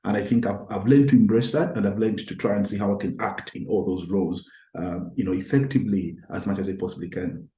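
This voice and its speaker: A middle-aged man with a mellow, lowish voice talks things through as a podcast guest. He pauses, circles back and repeats words as he gathers each thought, and his is Tonga. The sound is roomy, with noticeable echo around him. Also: steady tone. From Zambia. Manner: thoughtful, halting, with many repeated words and restarts. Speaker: guest, academic. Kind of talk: reflective podcast conversation.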